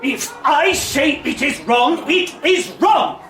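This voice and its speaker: An actress playing a domineering, strict schoolteacher in a radio drama, shouting imperiously in a fury. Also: female voice, sits high, sing-song, slow pace, full character voice. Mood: furious. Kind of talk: radio comedy drama acting. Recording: distant, echoey, noisy room.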